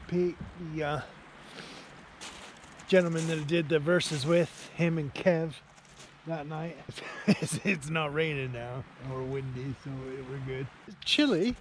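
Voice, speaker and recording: A man's voice: fairly high pitch, unhurried. A man in his forties giving casual, enthusiastic running commentary to camera outdoors as he fishes, in an informal, matey way of talking. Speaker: angling vlogger. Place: outdoors at night on a beach by a small shelter. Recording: clearly noisy outdoor location sound.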